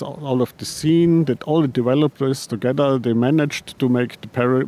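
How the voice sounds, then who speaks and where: A man who heads a paraglider company answering interview questions, halting, with frequent restarts and repeated words. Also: medium pitch, mellow, a little hiss or hum. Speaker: paraglider designer and company head.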